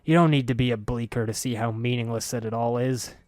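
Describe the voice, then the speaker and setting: A man's voice: mid-range voice, steady tone, brisk, darkish tone, no echo, clean studio sound, lightly acted. A man playing a stern, clipped cynic. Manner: staccato, each word clipped and distinct. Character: balding middle-aged man, stern, dismissive and cynical.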